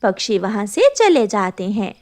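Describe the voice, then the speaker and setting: A woman's voice: mid-range voice, big pitch swings, measured pace, a little mellow. A woman narrating a scripted moral story for children as a storyteller.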